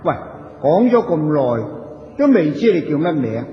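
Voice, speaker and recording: An actor with a Hong Kong Cantonese accent playing a cheeky, chatty, poor wandering soul in a radio drama, colloquial, playful and coaxing. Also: male voice, a little high, very animated, unhurried. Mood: mischievous, playful. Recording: dull echoey audio with long reverb.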